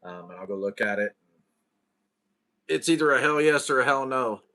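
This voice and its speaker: Laid-back man with a bright voice. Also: mid-range voice, lively intonation, moderate pace. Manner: laid-back, slangy, greets viewers. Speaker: livestream host. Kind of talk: casual chat with viewers.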